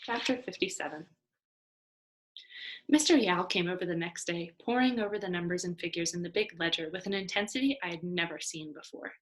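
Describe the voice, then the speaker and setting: A woman reading a children's novel aloud as an expressive storyteller. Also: lowish voice, a little fast, rough recording. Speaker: storybook reader.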